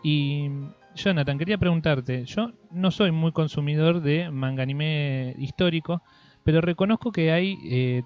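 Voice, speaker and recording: A man with an Argentine Spanish accent hosting a casual interview, phrasing his thoughts in a halting, reflective way. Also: a little high. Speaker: interviewer, host. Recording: dull lo-fi audio, music behind.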